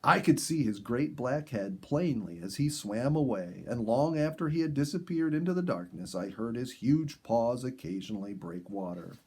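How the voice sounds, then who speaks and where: A man reading a story aloud in an expressive, measured storybook narration style. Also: mid-range voice, husky. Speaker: audiobook reader and host.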